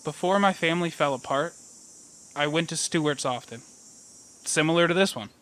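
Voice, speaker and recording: A man's voice: on the high side, moderate pace, fairly bright tone, close to an everyday voice. An actor playing a troubled young man, guarded, wry and sad, in an audio play. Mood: casual.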